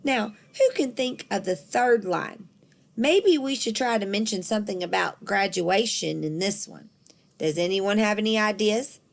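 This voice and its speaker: A woman voicing a patient but firm elementary school teacher in a storybook, measured and instructive. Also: medium pitch, vocal fry. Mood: encouraging. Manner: measured, instructive, addressing a class.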